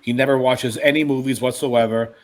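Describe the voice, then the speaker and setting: A man's voice: mid-range voice, steady tone, brisk. A man co-hosting a podcast, talking in a casual, conversational way with friends. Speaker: podcast co-host. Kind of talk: casual group chat.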